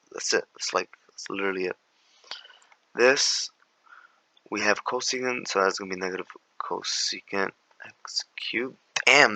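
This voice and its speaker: A young man with a raspy voice, walking through calculus problems in a slangy, laid-back way and sometimes mumbling steps under his breath. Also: on the low side, sharp tone, no room sound, rough recording. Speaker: math tutor. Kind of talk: casual tutoring walkthrough.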